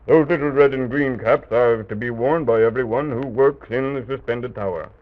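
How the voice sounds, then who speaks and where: A man playing a courteous, patient castle guide in armor for an old children's radio serial, speaking formally and with measured explanation. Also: medium pitch, close to an everyday voice. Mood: instructive. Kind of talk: 1920s-30s children's radio serial acting. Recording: tinny old radio recording.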